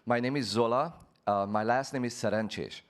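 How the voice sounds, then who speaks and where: A man's voice: mid-range voice, normal speed. A man panelist introducing himself graciously on a conference stage with a handheld microphone. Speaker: panelist, real estate broker. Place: conference stage before an audience.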